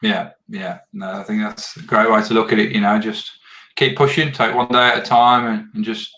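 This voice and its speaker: A man hosting a live video podcast interview, earnest and warm in his praise, often repeating words as he gathers his thoughts. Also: fairly low pitch, little pitch movement, normal speed. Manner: earnest, repeats words when searching for phrasing. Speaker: podcast host.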